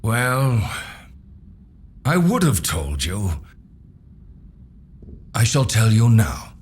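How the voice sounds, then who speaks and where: A calm, cryptic older mentor, explains in a fairly low, measured voice. His intonation is very varied, in video game cutscene style, over faint noise. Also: full character voice. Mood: wry, reluctant. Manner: measured, expository.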